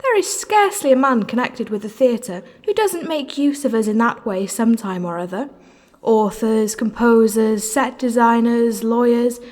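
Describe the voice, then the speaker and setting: A woman acting in an audio drama as a poised, worldly, successful actress, speaking in an articulate, theatrical and condescending manner. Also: sits high. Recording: reverberant hall-like room.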